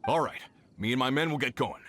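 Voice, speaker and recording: An actor playing a brisk, practical male leader of a search party in a game. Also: medium pitch, fairly bright tone, slight background noise, natural voice. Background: game music.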